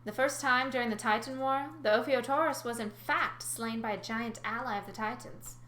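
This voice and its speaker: A woman's voice: on the high side, normal speed, a bit bright, pitched above the performer's own voice, natural voice. A woman voicing a solemn, ancient huntress from a storybook, with archaic wording. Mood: solemn, ominous. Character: grave ancient young huntress telling old lore. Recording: roomy echo, faint background noise.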